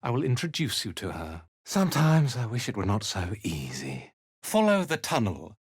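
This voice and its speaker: An actor playing a cold, condescending cult commander in a video game, speaking in a formal, measured way. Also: medium pitch, huge pitch swings, husky, no room sound, studio quality. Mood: measured, commanding. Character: haughty, cold cult commander, disdainful slave-driver and zealot. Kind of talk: video game character dialogue.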